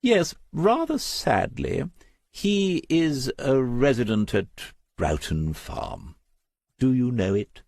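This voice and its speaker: A man's voice: medium pitch, wildly swooping pitch, slow pace, vocal fry, a little mellow, no echo, clean studio sound, voice pushed up, full character voice. A man voicing a pompous, genteel female gallery owner in an audiobook, proud and affected in manner. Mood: regretful, confiding. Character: genteel, self-important middle-aged female gallery owner, gushing sales talk. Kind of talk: audiobook character voice.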